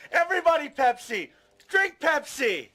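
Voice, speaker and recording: Man acting a shrieking high-pitched soda fanatic. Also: very animated, a loud crowd, heavily acted. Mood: gleeful. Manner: exaggerated, deliberately bad acting. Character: over-excited hammy soda-loving man in game cutscene. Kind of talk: live-action video game cutscene acting.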